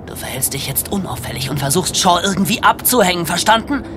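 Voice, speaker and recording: A hot-tempered petty crook in an audio drama, swinging widely in pitch as he snaps and hisses orders at his partner. Music runs behind the irritated, bossy voice. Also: expressive, quick pace. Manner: hissing, snappish orders.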